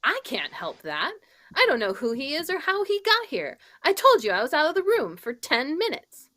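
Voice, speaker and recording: A woman's voice: sits high, huge pitch swings, normal speed, bright, forceful tone, heavily acted. A woman playing a flustered, defensive female murder suspect in an old-time radio mystery, with an indignant, exaggerated period delivery.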